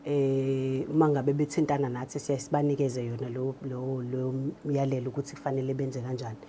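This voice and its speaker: Deep, mellow South African woman. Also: level tone, fairly quick, slight background noise. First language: Zulu. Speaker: radio drama producer. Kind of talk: explanatory interview answers. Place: radio drama studio.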